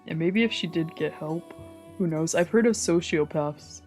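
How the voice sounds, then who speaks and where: Narrator reading over music, mid-pitched. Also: moderate pace, darkish tone. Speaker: streamer reading a visual novel aloud. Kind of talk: dramatic read-aloud narration with casual commentary. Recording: music behind the voice. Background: background music.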